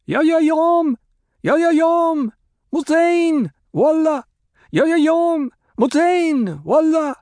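Ritual chanter, performed by a male narrator: fervent, rhythmic, loud, far above normal speaking pitch. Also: animated, takes it slowly, no echo, clean studio sound, full character voice.

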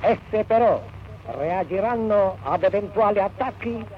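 A man reading a formal 1940s radio proclamation in solemn, official, measured phrases. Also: sits high, animated. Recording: dull, noisy 1940s radio archive.

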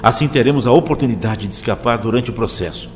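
An actor playing a serious, cautious and dryly fatalistic male guide in an audio drama, speaking gravely. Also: mid-range voice, quick pace, natural voice. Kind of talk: scripted audio drama acting. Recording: long reverb under music.

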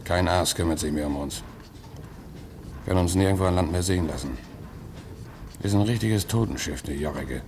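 A bitter ship's coal trimmer in a radio play. His voice is very deep, gruff and resigned, with terse working-man speech. Noisy ambience sits behind him. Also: moderate pace, pauses often. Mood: bleak. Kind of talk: radio drama acting.

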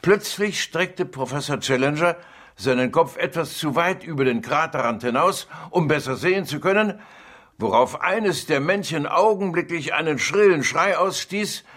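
An actor playing an urbane gentleman in a radio drama, relishing an adventure tale with measured, articulate, theatrical delivery. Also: on the high side. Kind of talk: radio drama acting, dramatic reading aloud.

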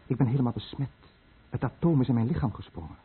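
A man's voice: mid-range voice, fast talker, slightly rough. An actor playing a dying, guilt-ridden fisherman who tells of his lost village in a brooding, bitter radio drama monologue. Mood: urgent warning. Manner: brooding, bitter, confessional monologue addressed to the listener. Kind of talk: Dutch-language radio drama narration. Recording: muffled narrow-band old radio recording, faint noise.

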